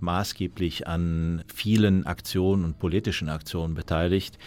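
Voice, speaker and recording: Deep-voiced man explaining as an expert. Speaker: expert commentator. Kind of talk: explanatory documentary interview.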